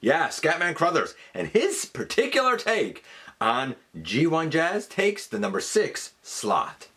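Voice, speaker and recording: A man's voice: on the high side, wildly swooping pitch, slow, creaky, pushed and bright, breathy edge. A man hosting a countdown with enthusiastic commentary, wordy and theatrical in his emphasis, dropping into conversational asides. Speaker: host of a countdown video.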